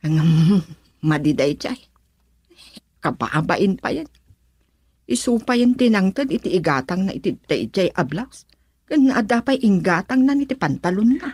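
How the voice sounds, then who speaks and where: A woman's voice: medium pitch, very animated, a little slow, pauses often, a little mellow, breathy edge, dry recording. A woman playing a worried middle-aged wife and mother in a radio drama, serious and subdued, with an Ilocano accent. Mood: disapproving.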